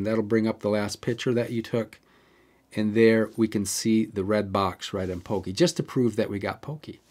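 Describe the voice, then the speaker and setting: A man's voice: medium pitch, darkish tone. Chatty man explaining camera settings. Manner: chatty, digresses, explains step by step. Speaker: camera tutorial host. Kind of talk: casual how-to walkthrough.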